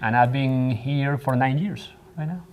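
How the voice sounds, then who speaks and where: A man in his thirties, a professor from Spain, answering interview questions in a thoughtful, measured way with frequent ums. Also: medium pitch. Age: 30s. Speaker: Spanish professor. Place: studio.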